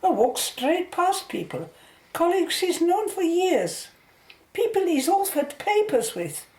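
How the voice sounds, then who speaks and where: A woman's voice: extremely high pitch, sing-song, unhurried, a little mellow, full character voice. A woman playing a sceptical, rational scientist in a radio play, frank and precise. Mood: sober, explanatory. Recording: roomy, echoing studio sound.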